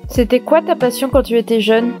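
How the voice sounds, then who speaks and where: A woman's voice: a little high, fairly quick, warm tone, soft background music. A woman interviewer asking short, direct questions in an informal tone.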